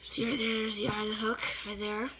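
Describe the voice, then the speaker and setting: A slightly hoarse woman giving a casual hands-on fly-tying tutorial, chatty and often stopping to search for a word. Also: fairly high pitch, little pitch movement, a little fast, noisy background. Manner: chatty, stops to search for words.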